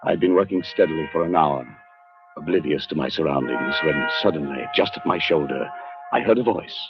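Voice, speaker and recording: A man's voice: extremely low pitch, a little fast. An actor playing a scholarly, sceptical man haunted by dread, acting and narrating in a 1940s radio drama. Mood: haunted, dramatic. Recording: muffled old radio recording, music behind.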